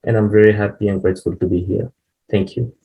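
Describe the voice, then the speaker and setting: A man from Cameroon, a company CEO, speaking on a webinar panel in an earnest, halting way with frequent fillers over a remote call mic. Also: lowish voice, fairly even intonation, warm tone, rough recording.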